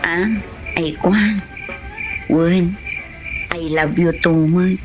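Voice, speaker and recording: A woman with an Ilocano Filipino accent playing an excitable, indignant married village woman in an amateur comedy drama, exclaiming and scolding. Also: fairly low pitch, very slow. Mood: coy, hesitant. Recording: dull lo-fi audio, music behind.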